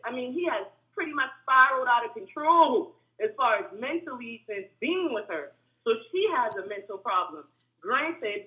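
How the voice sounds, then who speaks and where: A woman calls in over a thin, phone-like line. Her voice is monotone and a bit hard-edged, and it sits somewhat high. She speaks earnestly and keeps a steady, measured pace. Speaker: caller. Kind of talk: phone-in comment.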